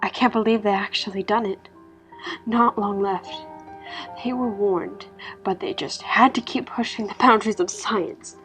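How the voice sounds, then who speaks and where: A woman voicing a frightened, despairing witness to the end of the world in a hushed, halting, anguished way for a horror story. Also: medium pitch, fairly even intonation, faint music behind, natural voice, poor audio. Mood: frightened, resigned. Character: despairing, frightened doomsday witness leaving a last message.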